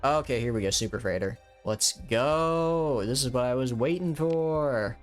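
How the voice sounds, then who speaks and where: A young man in his twenties talks his way through a game stream in a casual, rambling way, often restarting his sentences. His voice sits at an ordinary pitch for a man. Soft music runs faintly underneath. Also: unhurried. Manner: rambling, chatty, frequent restarts and fillers. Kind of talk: casual live-stream chat while gaming.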